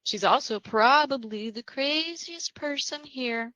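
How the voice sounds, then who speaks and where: A fairly high, bright voice for a snide young female patient in a horror drama, read by a woman. She needles and gossips dryly, in a close, echo-free sound. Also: slow, full character voice. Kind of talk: table read of a horror script.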